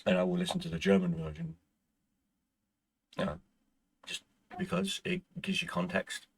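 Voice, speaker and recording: A man's voice: sits high, sing-song, moderate pace, creaky, slightly rough. A man whose first language is Irish, hosting a gaming channel in casual, rambling chat with viewers and stumbling over restarts as he is losing his voice.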